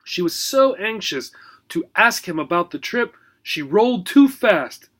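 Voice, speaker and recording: A man narrates a children's storybook in a high, bright voice. His reading is expressive and theatrical, with lively swings in pitch. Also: measured pace. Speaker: teacher reading a children's book.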